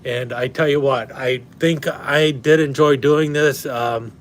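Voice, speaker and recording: A man rambles through a casual home livestream, full of ums and restarts. His mid-pitched voice is wearing thin and a little hoarse. A faint hum sits under the recording. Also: fairly even intonation, measured pace. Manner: rambling, many fillers and restarts. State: slightly hoarse, losing his voice. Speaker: knife collector livestream host. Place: at home indoors.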